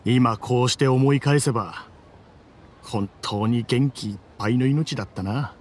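An actor playing a gruff, boastful but tender-hearted mercenary father, speaking his letter in rough, casual, teasing words in a game. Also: mid-range voice, unhurried, a little hiss or hum, natural voice. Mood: boastful, nostalgic. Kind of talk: voiced letter monologue in game cutscene.

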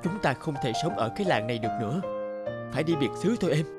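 An actor playing a bitter, despairing young outcast in a cartoon, dramatic and fatalistic. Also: male voice, on the high side, lively intonation, fast talker, over loud music, lightly acted.

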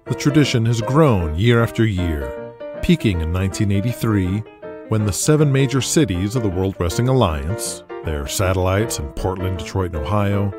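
A low-voiced man narrates an audio drama in a measured, storytelling way. Guitar music plays clearly underneath his voice. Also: lively intonation.

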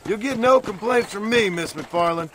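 Laconic American outlaw cowboy, pitched high male actor. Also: normal speed, very noisy, close to an everyday voice. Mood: dry, polite. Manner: laconic, courteous, drily understated. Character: polite, dry former outlaw cowboy, rugged and understated. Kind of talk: western video game acting.

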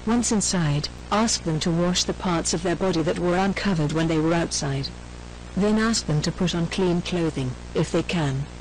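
A woman reading a scripted emergency announcement in a formal, measured, impersonal manner. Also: lowish voice, slight background noise, rough recording.

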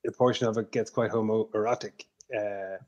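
Man in his fifties, headset mic, steady and reflective, lowish voice, little pitch movement. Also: moderate pace, highs cut off. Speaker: film producer. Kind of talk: casual interview answers. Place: indoor room at home. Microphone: headset on a video call.

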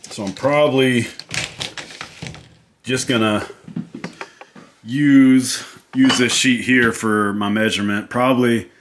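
A man in his thirties casually talking through a home building project, relaxed and rambling with lots of fillers and restarts. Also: medium pitch, takes it slowly, long pauses, noisy background. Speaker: DIY vlogger. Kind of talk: casual how-to narration. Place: tiny house interior and outdoor deck.

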